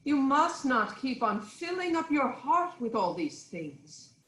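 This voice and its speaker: An actress playing a regal queen, an anxious but firmly reassuring wife, in a formal, deliberate reading of Greek tragedy. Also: high-pitched, sing-song, fairly bright tone, roomy sound, heavily acted.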